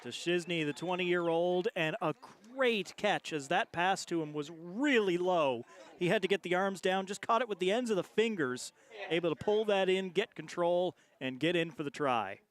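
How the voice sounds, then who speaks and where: Conversational higher-pitched male rugby analyst. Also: moderate pace. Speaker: colour sports commentator. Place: outdoors at a sports field.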